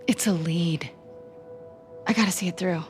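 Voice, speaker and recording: A stubborn, determined young female soldier in a video game drama, voiced by a woman. Her voice is on the low side and her delivery is terse, direct and natural. Soft music plays underneath. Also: normal speed, natural voice. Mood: resolute.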